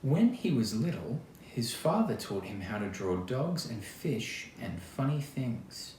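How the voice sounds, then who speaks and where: An Australian-accented man reading a picture book to children as an actor, expressive and chatty, speaking straight to his young listeners. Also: medium pitch, slow pace. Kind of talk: children's storytime read-aloud with casual asides. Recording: roomy echo, clear background noise.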